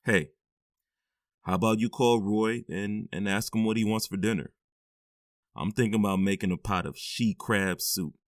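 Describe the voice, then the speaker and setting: An actor playing a widowed man who speaks in a calm, easygoing way at home. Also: lowish voice, normal speed, no room sound, clean studio sound, close to an everyday voice.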